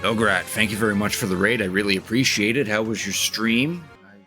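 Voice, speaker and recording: Man, game streamer, casual commentary, low pitch, bright tone, faint background music. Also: fairly quick.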